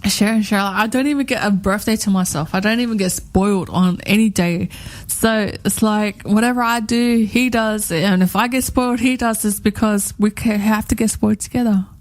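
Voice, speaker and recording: A woman's voice: medium pitch, normal speed. A woman in her thirties co-hosting a radio chat show, talking in a rambling, heartfelt way and repeating herself often. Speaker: radio co-host.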